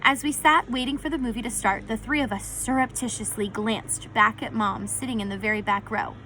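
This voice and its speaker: A woman narrates a storybook aloud in the first person with expression. Her voice is fairly high and very bright, and there is a faint noise in the recording. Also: fairly even intonation, a little fast, low-quality recording.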